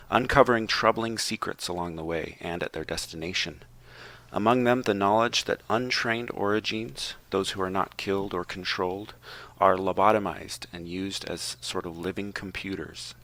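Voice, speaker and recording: Low, bright-voiced man reading wryly. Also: moderate pace. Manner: wry, tongue-in-cheek delivery. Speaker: podcast co-host reading a book synopsis. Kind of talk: reading a prepared summary with joking asides.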